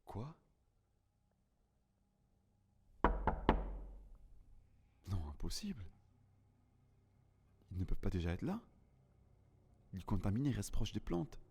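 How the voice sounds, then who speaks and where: Man acting a deep-voiced, lonely apocalypse survivor. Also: very animated, normal speed, long pauses, warm tone, breathy edge, heavily acted. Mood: alarmed disbelief. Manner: somber, melancholic, dramatic delivery. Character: lonely grieving male survivor of a plant apocalypse. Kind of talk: first-person audio drama narration.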